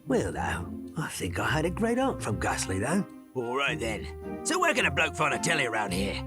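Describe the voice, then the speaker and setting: A man's voice: medium pitch, wildly swooping pitch, moderate pace. A man voicing a cheeky, uncouth sewer rat in a cartoon game, slangy and matey with a working-class London accent. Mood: chummy, cocky. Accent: English, working-class London. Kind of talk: animated game cutscene acting. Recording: music behind the voice.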